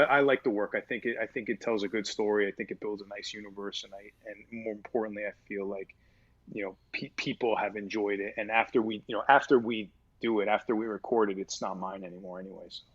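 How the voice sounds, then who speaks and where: A man's voice: on the low side, fairly even intonation, brisk, husky, dull audio. A man speaking as a voice actor in a casual interview, with a mid-register voice, rambling through fillers and restarts and swearing casually. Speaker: voice actor guest.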